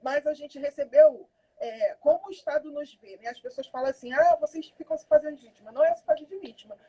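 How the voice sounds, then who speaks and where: High, mellow-voiced carioca woman, impassioned. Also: fast talker, poor audio. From Brazil. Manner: impassioned, emphatic. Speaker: community activist guest. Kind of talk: conversational interview.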